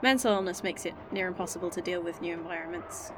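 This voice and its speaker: A woman's voice: fairly low pitch, fast, background noise, close to an everyday voice. A woman playing an earnest, candid young scientist. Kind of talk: audio drama acting.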